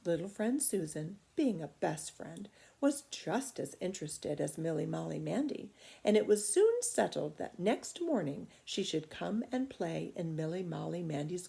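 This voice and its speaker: A woman reading a children's story aloud in expressive narration. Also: on the low side, vocal fry.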